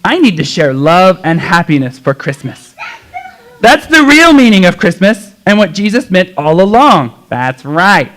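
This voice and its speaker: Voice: medium pitch, big pitch swings, measured pace, fairly bright tone. A performer voicing puppet characters in a children's church Christmas show, exclaiming and addressing the children in an exaggerated way. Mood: earnest preaching.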